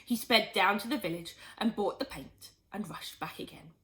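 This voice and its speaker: A woman reading a children's storybook aloud in expressive, scripted narration. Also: medium pitch, normal speed, low-quality recording. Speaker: storybook reader.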